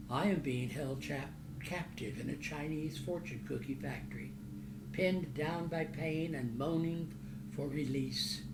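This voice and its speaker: A man gives a theatrical author's reading with a slow, deliberate delivery. His voice sits a little high and sounds declamatory and dramatic. The recording has loud background noise. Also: roomy sound. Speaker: author reading from own novel.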